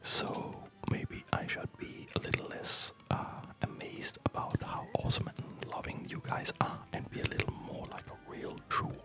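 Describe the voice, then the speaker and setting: A man whispering breathily in a gentle, unhurried, rambling way, as host of an ASMR gaming video, close to the microphone. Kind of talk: whispered ASMR chat over gameplay.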